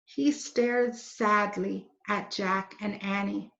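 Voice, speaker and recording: A woman reading a children's book aloud in a deliberate, expressive way. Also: medium pitch, vocal fry. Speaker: read-aloud storyteller. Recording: roomy, dull lo-fi recording.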